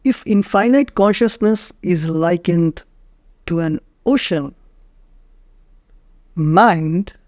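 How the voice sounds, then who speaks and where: A man narrating a guided meditation in short, measured phrases with frequent pauses. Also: high-pitched, darkish tone, no echo, studio quality.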